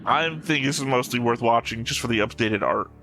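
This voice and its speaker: A man's voice: medium pitch, a little fast, sharp tone, soft background music. A man casually commentating on a video he is watching, in a conversational, opinionated way. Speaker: reaction show host.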